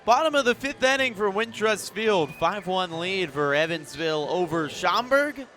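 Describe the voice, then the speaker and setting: A man's voice: high-pitched, lively intonation, moderate pace, pushed and bright, slight background noise. A man doing live radio play-by-play commentary of a baseball game as the announcer. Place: broadcast position at an outdoor ballpark in the evening.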